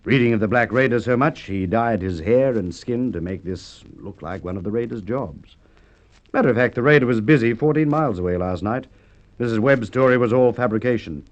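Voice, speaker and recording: An actor playing a shrewd, middle-aged police inspector in a radio drama, questioning in a measured, hesitant, quietly sardonic way. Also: male voice, mid-range voice, animated, close to an everyday voice. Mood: sardonic, triumphant. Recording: dull lo-fi vintage radio recording.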